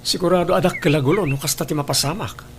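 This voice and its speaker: An earnest male voice of an actor plays a secretive, cheating husband in a radio-style drama, placating as he talks. Clear background noise runs through the recording. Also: on the high side, fast talker, lightly acted. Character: unfaithful husband and father, secretive, placating his mistress. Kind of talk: Ilocano audio drama acting.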